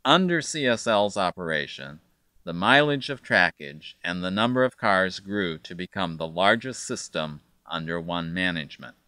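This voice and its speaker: A man narrates a documentary in a low, bright-edged voice, steady and factual. The studio sound is dry, with soft music under him. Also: dry recording. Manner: measured, factual delivery.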